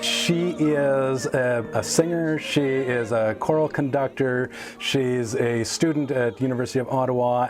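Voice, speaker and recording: A man hosts an online interview in an ordinary mid-range voice. He is enthusiastic and rambles a little, circling back and rephrasing as he asks questions over a video call. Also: medium pitch. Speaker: host, artistic director. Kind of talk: interview hosting.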